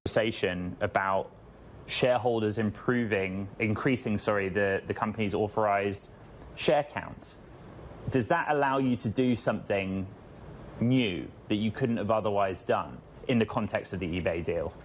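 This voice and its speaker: A man working as a business interviewer, asking long, measured questions that he explains and rephrases. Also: fairly low pitch, a little hiss or hum.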